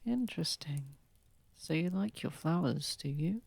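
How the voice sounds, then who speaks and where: Courtly vampire of the manor an actor's role: breathy, gravelly, gentle and unhurried, intimate ASMR delivery. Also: medium pitch, lively intonation, no room sound, exaggerated voice. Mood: soothing, warm. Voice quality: breathy, husky, slightly creaky. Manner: soft-spoken, soothing, unhurried, slightly formal. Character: posh, gentle, protective vampire lord of a manor. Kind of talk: ASMR roleplay, soft intimate acting. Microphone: close microphone, dry.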